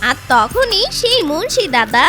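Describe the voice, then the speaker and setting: Super high childlike narrator telling a kids story, lively, music behind. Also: measured pace.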